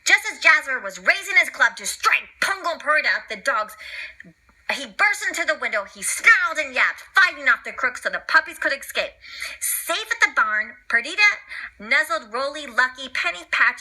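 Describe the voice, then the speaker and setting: A woman reading a children's story aloud as storyteller, in an animated, dramatic and expressive way. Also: high-pitched, normal speed, rough recording.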